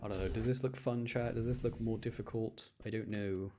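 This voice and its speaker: A man's voice: on the low side, fairly even intonation, a little fast, mellow, slight background noise. A man giving casual, chatty live game commentary, explaining the gameplay as he plays and often repeating short phrases. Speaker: video game streamer. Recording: game music behind the voice. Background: video game music.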